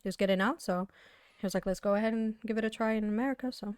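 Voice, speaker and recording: A woman's voice: medium pitch, rapid-fire, a little mellow, clear, resonant tone, no room sound, clean studio sound. Moroccan-born woman, chatty guest. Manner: chatty, finishes her husband's sentences. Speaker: guest, wife. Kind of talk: casual interview chat.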